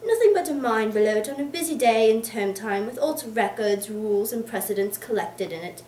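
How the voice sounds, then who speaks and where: A woman voicing a hearty, explosive, blustering older English gentleman in an emphatic, theatrical reading of a Victorian novel. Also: a little high, expressive, brisk, lightly acted. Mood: indignant, blustering. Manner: emphatic, theatrical declaiming in long tirades. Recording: echoey room, distant mic, faint noise.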